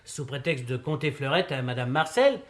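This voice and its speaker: An actor playing a good-natured, chatty investigator's sidekick in a mystery play, colloquial and familiar. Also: male voice, mid-range voice, animated, moderate pace, natural voice. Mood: puzzled. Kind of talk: French radio mystery play acting.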